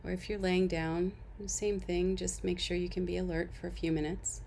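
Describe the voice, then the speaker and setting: A woman leading a guided body-scan meditation as an instructor, giving gentle, unhurried instructions and soft invitations. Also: fairly low pitch, level tone, creaky, a little hiss or hum.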